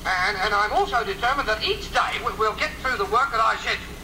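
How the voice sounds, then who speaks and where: A man's voice: sits high, lively intonation, quick pace. An actor playing a flustered, strict schoolteacher in a radio comedy, blustering and stammering over his words. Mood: firm, blustering. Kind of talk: 1930s radio comedy acting. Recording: noisy, echoey old radio transcription recording.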